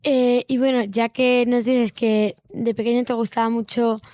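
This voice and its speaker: A woman's voice: a little high, little pitch movement, a little slow, warm tone, dry recording, studio quality. A woman asking informal interview questions as a volunteer, in a hesitant way.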